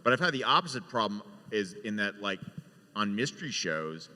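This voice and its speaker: Male panelist, showrunner type, forceful bright tone, emphatic explainer, long hall reverb. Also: fairly low pitch, very animated, moderate pace. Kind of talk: panel discussion.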